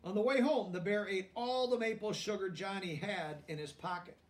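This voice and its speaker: Male children's story reader, high register, explains as he goes, recorded a little way off the mic. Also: far from the mic. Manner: pauses to explain words and check understanding in plain language. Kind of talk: children's read-aloud with explanatory asides.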